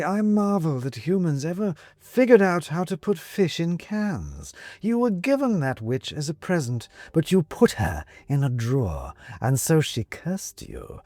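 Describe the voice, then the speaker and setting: A man voicing a sly, predatory house cat that taunts its prey in a slow, condescending drawl. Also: a little high, huge pitch swings, mellow, no room sound, pitched above the performer's own voice, full character voice.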